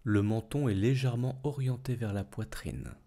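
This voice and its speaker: A man leading a guided yoga nidra relaxation as instructor, speaking calmly and soothingly in measured phrases with long pauses. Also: medium pitch.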